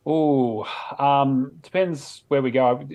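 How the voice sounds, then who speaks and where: This is a man with an average-pitched voice, co-hosting a casual podcast. He talks in a loose, conversational way and swears freely. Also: fairly even intonation, slow pace, dark tone. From Sweden. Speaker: podcast co-host. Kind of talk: casual chat about video games.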